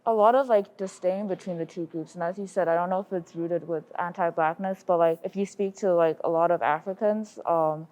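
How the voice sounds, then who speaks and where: A student woman from Zimbabwe talks in a casual panel discussion, her voice a little low. She rambles and leans on filler words, and the recording sounds dull and lo-fi. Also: fairly quick, hardly any pauses, darkish tone.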